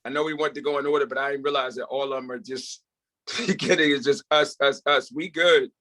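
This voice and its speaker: A man's voice: medium pitch, fairly even intonation, brisk, pushed and bright. A man chatting casually on a video call, very colloquial and often checking that the listener follows him. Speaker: table read participant. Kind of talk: casual meeting chat.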